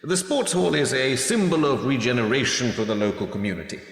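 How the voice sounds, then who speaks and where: A man's voice: medium pitch, big pitch swings, fairly quick. A man playing a worldly, encouraging political mentor in a radio play. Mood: brisk, persuasive. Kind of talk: radio drama acting. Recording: echoey hall.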